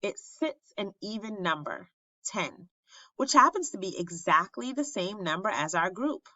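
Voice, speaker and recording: Bright-voiced woman reading a children's story. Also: medium pitch, big pitch swings, a little slow. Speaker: teacher reading a picture book aloud. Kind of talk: expressive children's read-aloud. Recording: roomy, slightly muffled sound.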